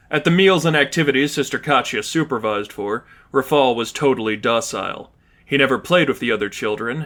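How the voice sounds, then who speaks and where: A man's voice: mid-range voice, a bit bright. A man reading scripted fiction aloud as an audiobook narrator.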